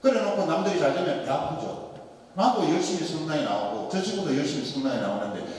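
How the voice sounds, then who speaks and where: A man's voice: fairly high pitch, fast talker. Male priest, Daegu accent, joking sermon storyteller, long church reverb. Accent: Daegu dialect. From Daegu, South Korea. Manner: animated, joking storyteller with rhetorical questions. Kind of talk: Lenten sermon lecture. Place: large church hall. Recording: echoey church hall, mic far off.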